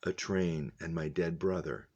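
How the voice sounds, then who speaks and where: A man reading the storybook lines of an earnest, shy young girl in a quiet, tentative way. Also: sits low, a little slow, husky, highs cut off. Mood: quiet, troubled. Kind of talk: storybook read-aloud dialogue.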